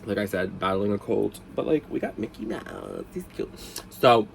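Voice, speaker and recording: A man with a lowish voice, a little stuffed up from a cold, talks his way through a casual storytime at home. He rambles, drifts off topic and teases himself along the way. A faint hiss sits under the voice. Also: wildly swooping pitch, moderate pace, gravelly. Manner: chatty, rambling, self-mocking asides. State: congested from a cold. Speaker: vlog host.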